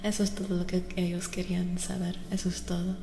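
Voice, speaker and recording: A woman streaming soft-spoken ASMR as a tarot reader, chatting to viewers in an unhurried murmur that often slips into whispers. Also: on the low side, completely flat delivery, vocal fry. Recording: roomy reverberant echo, faint noise.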